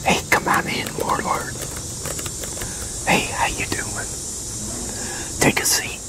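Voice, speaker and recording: A man in his sixties doing an ASMR roleplay as a promoter in a breathy, halting whisper. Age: 60s. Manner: halting, with pauses and one-sided conversation. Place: open covered outdoor picnic area with metal tables.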